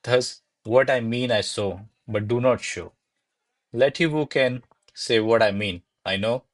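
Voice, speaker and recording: A man's voice: mid-range voice, measured pace, dry recording, lightly acted. A man playing a diffident, formal male secretary who speaks deferentially to his lady in a radio play. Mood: earnest, pensive. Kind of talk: stage verse drama read as radio play.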